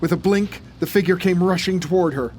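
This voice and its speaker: A man's voice: high voice, fairly quick, a little mellow. A man narrating a scripted horror audio drama. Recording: faint background ambience noise.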